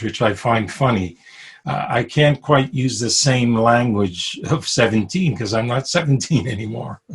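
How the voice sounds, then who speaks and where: A man in his sixties from Brooklyn with a New York accent, telling his life story over a video call, with pauses, fillers and frequent soft chuckles. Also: mid-range voice, breathy edge. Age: 60s. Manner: unhurried, chuckles often, many pauses and fillers. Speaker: interviewee. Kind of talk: reflective personal storytelling.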